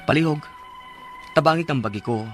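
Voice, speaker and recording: A man's voice: on the high side, brisk, soft background music, natural voice. An actor with a Cebuano Filipino accent playing an earnest, wounded father in an emotional radio drama. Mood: hurt, resolute. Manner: emotional, pleading. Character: earnest, wounded family man and devoted father. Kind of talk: radio drama acting and first-person narration.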